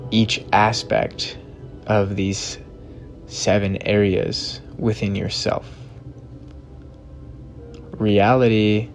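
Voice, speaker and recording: A man narrating a guided meditation in a measured, deliberate way, in short phrases separated by long pauses. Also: lowish voice. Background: background music.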